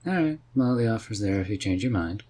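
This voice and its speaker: A man's voice: lowish voice, lively intonation, brisk, darkish tone. A man voicing a laid-back, friendly and curious male mage in a fantasy roleplay audio, with a casual, questioning manner. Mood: easygoing, curious. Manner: casual, easygoing, puzzled questioning. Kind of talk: roleplay audio drama for the listener.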